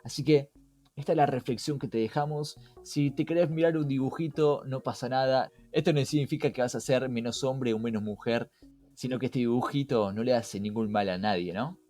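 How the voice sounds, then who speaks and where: A man's voice: medium pitch, a little fast, dark tone. A man with an Argentine accent hosting a podcast in a conversational style, engaging the listener with rhetorical questions. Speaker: podcast host. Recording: music behind the voice.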